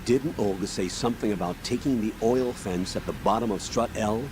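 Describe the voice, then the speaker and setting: An actor playing a brisk, authoritative military colonel giving orders in a video game. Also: male voice, on the low side, background noise, natural voice. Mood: brisk, commanding. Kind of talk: dramatic video game voice acting.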